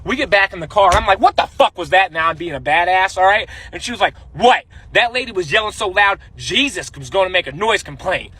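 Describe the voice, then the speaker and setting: High-pitched, husky man telling comic stories. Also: a little fast, hardly any pauses, no room sound. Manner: profane, slangy, animated exasperation. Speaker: storyteller. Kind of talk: comedic storytime monologue.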